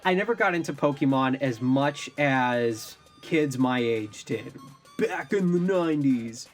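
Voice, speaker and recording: A man's voice: mid-range voice, expressive, a little slow. A man in his thirties co-hosting a film review, giving emphatic opinions as commentary. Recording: music bed under voice.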